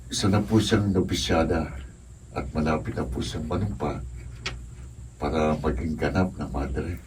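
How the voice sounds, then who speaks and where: A man's voice: a bass voice, steady tone, measured pace, a little mellow. A man with a Filipino accent playing an earnest, respectful young seminarian in a radio drama. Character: earnest, respectful young seminarian, wistful about his old friend. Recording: noisy, distant amateur recording with room ambience.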